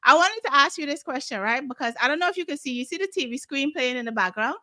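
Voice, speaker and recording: A woman with a fairly high, very bright and forceful voice hosts a casual radio interview. She teases as she asks and chimes in often with quick affirmations. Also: expressive. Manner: teasing, frequent short affirmations. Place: radio station studio.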